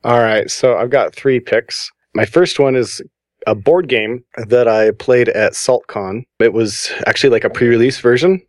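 A man's voice: mid-range voice, normal speed, no room sound, clean studio sound. A man on a podcast panel, chatting with enthusiasm as he shares his picks. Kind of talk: casual podcast chat.

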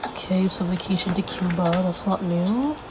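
A young woman hosting a casual solo podcast, a little congested from being sick, rambling with lots of fillers as she thinks out loud. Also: fairly low pitch, moderate pace, music in the background. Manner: rambling, filler-heavy, thinking aloud while flipping pages. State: congested from a recent illness. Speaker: podcast host.

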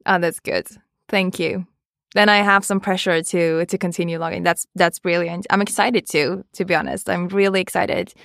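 A woman's voice: on the low side, fairly even intonation, moderate pace, gravelly, a bit bright, no echo, studio quality. A woman in her thirties with a Swedish accent, hosting a casual podcast chat, often laughing at herself. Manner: laughs often, self-mocking.

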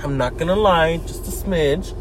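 A man's voice: fairly high pitch, moderate pace. Chatty young man talking while driving. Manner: loose, swearing, rambling with many fillers. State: slightly sick, deeper voice. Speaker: podcast host. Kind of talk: casual talking-to-camera vlog. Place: inside a car while driving. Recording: clear car noise behind. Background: car road noise.

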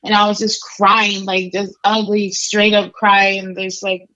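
A woman's voice: medium pitch, flat delivery, unhurried, sharp tone, lo-fi sound. Candid woman telling her story. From Mexico. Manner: candid, conversational, many fillers. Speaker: interview guest. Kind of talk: casual storytelling interview.

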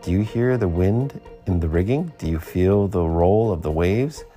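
A man's voice: low-pitched, normal speed, warm tone, soft background music, natural voice. A man voicing a curious boy asking wondering questions in a storybook reading. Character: curious young boy on a ship.

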